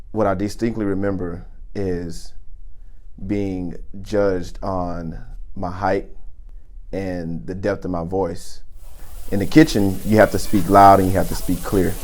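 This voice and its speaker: A man who works as a chef, with a naturally deep voice, telling his story earnestly and deliberately in an interview. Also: a little mellow. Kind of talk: interview testimony.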